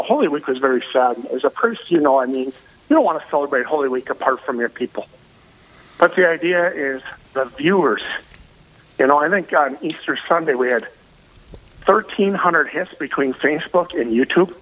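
A man, a parish priest, speaking loudly and energetically as a guest on a radio interview. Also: fairly high pitch, normal speed, poor audio. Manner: loud, energetic and emphatic.

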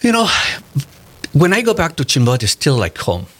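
A man's voice: fairly high pitch, huge pitch swings, moderate pace, a bit bright. A man in his fifties from Peru, a native Spanish speaker with a Peruvian accent, answering questions conversationally as an interview guest.

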